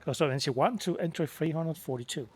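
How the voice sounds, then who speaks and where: A man's voice: fairly high pitch, a little fast, darkish tone, breathy edge. A man giving casual, understated Let's Play commentary in offhand muttered remarks. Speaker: gaming video creator. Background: game music.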